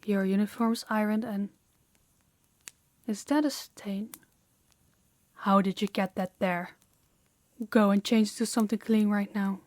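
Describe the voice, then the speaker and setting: A woman's voice: mid-range voice, fairly even intonation, measured pace, pauses often, a little mellow, dry recording, lightly acted. A woman playing a dominant mafia boss in an audio roleplay, stern and condescending, giving orders and patronizing praise. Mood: exacting, impatient. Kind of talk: audio roleplay addressed to the listener.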